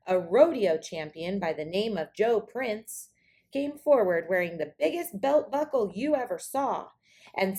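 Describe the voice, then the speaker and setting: Woman, children's read-aloud, slow pace, very lively intonation, dramatic storytelling. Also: medium pitch. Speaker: storybook reader.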